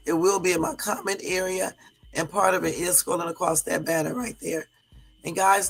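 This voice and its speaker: A hoarse woman from the US West Coast hosting a live stream, chatting casually with viewers and sprinkling in lots of fillers. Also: on the low side, a bit bright, rough recording. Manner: chatty, addresses viewers as y'all, many fillers. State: hoarse from a cold. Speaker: livestream host.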